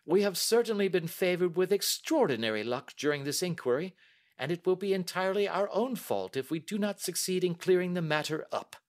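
A man doing a cool, crisp detective voice, raised high. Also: lively intonation, fairly quick. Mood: assured. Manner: crisp, assured, formal. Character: cool, precise male detective, middle-aged.

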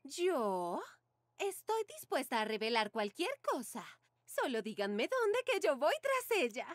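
A woman's voice: high voice, big pitch swings, slow, full character voice. A woman voicing a smug, scheming teenage girl social-media star in a cartoon, gloating and theatrical. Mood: smug, showy. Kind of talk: animated cartoon dub. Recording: music behind the voice.

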